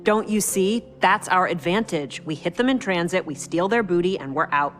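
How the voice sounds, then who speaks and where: An actress playing a bold, quick-witted young woman who talks her friends into a heist, in a game cutscene. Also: lowish voice, animated, fairly bright tone, long reverb tail, soft background music. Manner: cocky, persuasive, quick-witted. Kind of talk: video game cutscene acting.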